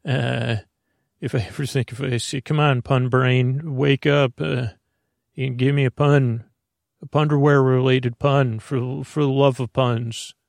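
Creaky-voiced man rambling on a sleep podcast. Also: mid-range voice, level tone, a little mellow, no room sound, clean studio sound. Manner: meandering, tangent-prone, halting; whimsical wordplay, talks to himself in imagined dialogue. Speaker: podcast host.

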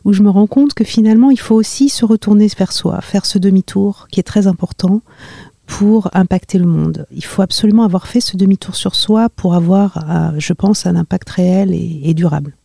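A woman in her fifties from the Paris region, a podcast guest talking hesitantly with many pauses and fillers. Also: medium pitch, gravelly, dark tone, dry recording, clean studio sound. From Paris region, France. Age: 50s. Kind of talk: podcast interview.

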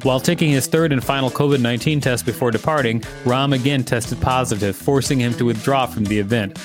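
A man's voice: medium pitch, a little fast, music in the background. A man co-hosting a show, reading an article aloud in a narrator's style and breaking off for playful, mock-serious banter.

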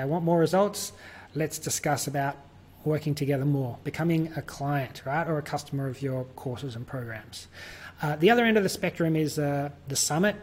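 A man's voice: a little high, darkish tone. Australian man explaining in an interview. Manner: explanatory, with frequent fillers and light laughs. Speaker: guest, virtual events business owner. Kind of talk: conversational interview.

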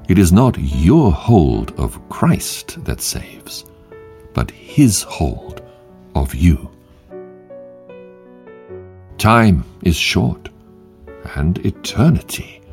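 A man hosting a devotional show, reading short quotes in a measured, emphatic way with long pauses. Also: low voice, vocal fry, a little mellow. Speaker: podcast host and devotional reader.

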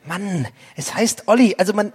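A man's voice: lively intonation, normal speed, husky. A man playing a timid, fussy, whiny young boy detective in a comedic audio play. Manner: squeaky, fussy, pedantic.

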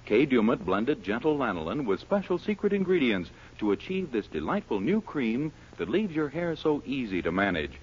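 A man's voice: medium pitch, breathy edge. An American man working as a radio announcer, reading scripted introductions and ads in a 1940s style. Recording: muffled 1940s radio broadcast, music behind.

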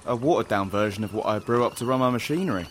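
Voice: medium pitch, racing, slight background noise, lightly acted. An actor playing a blunt but protective rebel ally in an audio drama, speaking earnestly. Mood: dry, teasing.

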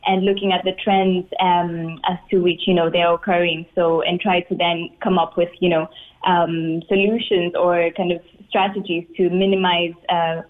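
A woman's voice: lowish voice, flat delivery. Woman on a tinny phone line. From Tanzania. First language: Swahili. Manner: measured, many "um" fillers. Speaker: radio guest, public health worker. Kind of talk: radio interview.

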